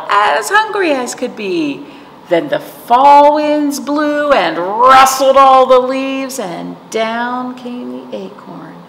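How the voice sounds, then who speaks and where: Middle-aged woman, children's librarian, very lively intonation, unhurried, simple questioning read-aloud style, reverberant room. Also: a little high, gravelly. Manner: simple explanatory talk, checking in with questions. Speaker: children's librarian hosting virtual storytime. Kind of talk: storytime for young children, rhymes and read-aloud. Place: small indoor library room, seated. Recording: echoey room with background noise.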